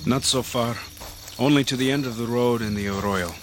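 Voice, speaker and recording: An actor playing a dutiful, wary village police chief in Mexico, in a grave, measured radio drama style. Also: male voice, mid-range voice, slight background noise, close to an everyday voice. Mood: grave, solemn.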